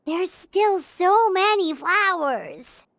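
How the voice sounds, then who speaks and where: A woman voicing a tiny, chatty female fairy companion in a video game, curious and high-voiced. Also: animated, very slow, gravelly, fairly bright tone, slight background noise, full character voice. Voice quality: high, chirpy.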